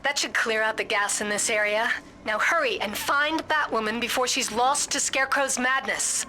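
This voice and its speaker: An actress playing a brisk, encouraging guide who gives direct mission instructions in a video game. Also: female voice, a little high, lightly acted, low-quality recording. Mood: encouraging, urgent. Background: music.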